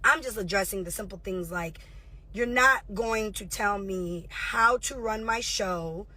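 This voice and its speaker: A woman in her thirties, a reality show host, ranting heatedly and profanely on a livestream, confrontational and rapid-fire. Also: medium pitch, sharp tone.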